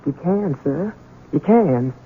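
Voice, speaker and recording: An actress playing a loyal, anxious older housekeeper in an old radio drama, with deferential, folksy servant speech. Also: female voice, deep voice, lively intonation, slow, slight background noise. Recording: muffled, band-limited old radio recording.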